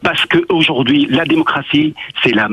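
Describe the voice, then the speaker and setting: A man in his fifties from Syria with a Syrian accent, calling a radio debate and arguing insistently in halting, repetitive non-native phrasing. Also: fairly high pitch, sharp tone, husky, no echo, muffled recording. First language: Arabic. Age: 50s. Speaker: phone-in caller. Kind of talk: impromptu political argument.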